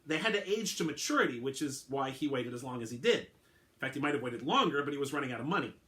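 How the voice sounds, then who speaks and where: Guy doing a weird earnest clone dude, explains everything patiently, fixes his own words, bouncy bright voice. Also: mid-range voice, expressive, fast talker, lightly acted. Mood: explaining. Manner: explains patiently, corrects himself mid-sentence. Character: eccentric male clone, earnest, oddly formal.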